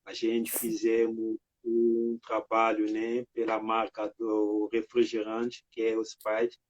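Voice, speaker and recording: A man from the Democratic Republic of the Congo answering interview questions over a video call, halting, with many filler words. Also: fairly low pitch, monotone. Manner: halting, frequent "né" and "sabe" fillers. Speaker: artist and guest. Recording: dry lo-fi video call audio.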